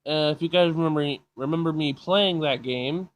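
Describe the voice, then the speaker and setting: A man's voice: on the high side, normal speed. A man hosting a casual gaming video, reading game text aloud informally and stumbling over words, with game music behind him.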